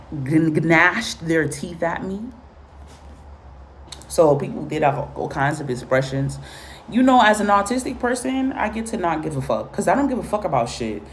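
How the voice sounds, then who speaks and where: A woman's voice: low voice, slight background noise. A woman from Guatemala and Honduras, Spanish her first language, giving a casual spoken reading with blunt, profane, repetitive commentary. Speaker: tarot and spiritual reader.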